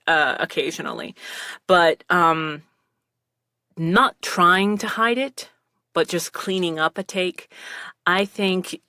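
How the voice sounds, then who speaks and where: A woman's voice: sharp tone, no room sound. A woman guest on a podcast, chatting casually and rambling a little as she gives recording tips into a close mic. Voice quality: self-described low voice. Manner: rambling, chatty, with frequent fillers and laughs. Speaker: podcast guest talking voice acting. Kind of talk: casual commentary chat, giving recording advice. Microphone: close studio mic with headphones.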